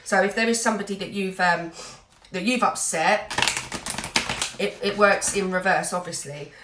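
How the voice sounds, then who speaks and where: A woman's voice: medium pitch, moderate pace, roomy sound, very noisy. A woman giving an earnest, reassuring tarot reading while full of cold, dotting her talk with "you know". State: has a cold, a bit snotty. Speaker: tarot reader.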